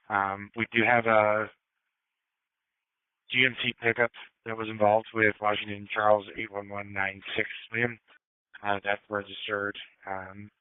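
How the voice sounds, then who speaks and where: Smooth low male dispatcher on narrow radio. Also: no echo. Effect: two-way radio. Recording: narrow-band police scanner radio, dry.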